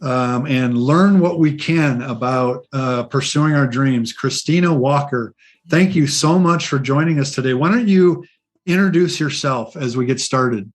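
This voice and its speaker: A man's voice: medium pitch, unhurried. A man hosting an interview podcast, encouraging and enthusiastic, with frequent fillers. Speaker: podcast host.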